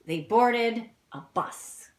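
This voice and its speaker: Woman, children's story-time reader, slow pace, lively pitch swings, chatty asides, simple explanations. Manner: addresses young listeners directly with simple explanations and questions. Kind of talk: reading a picture book aloud with chatty asides.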